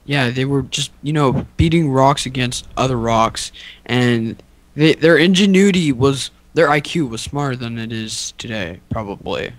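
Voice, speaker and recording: A man's voice: mid-range voice, unhurried, no echo. A young man co-hosting a podcast in casual, unscripted chat, rambling along in a goofy, mock-boastful way. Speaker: podcast co-host.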